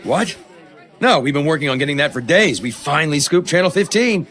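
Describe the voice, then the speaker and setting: An actor playing a brash, cynical TV news station boss in an audio drama, dismissive and pushy. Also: male voice, fairly high pitch, animated, fairly quick, a bit bright, a faint crowd. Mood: brisk.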